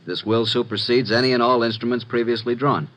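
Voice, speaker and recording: An actor playing a breezy, wisecracking young assistant to a detective in a 1950s radio drama. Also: male voice, mid-range voice, fairly even intonation, brisk, a bit bright, natural voice.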